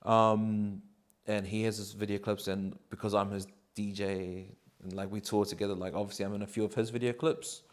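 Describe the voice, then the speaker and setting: Give me a New man in his thirties, slightly low-pitched, chatting loosely with plenty of fillers. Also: moderate pace. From New Zealand. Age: 30s. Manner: relaxed, rambling, many ums and likes. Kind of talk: casual interview answers.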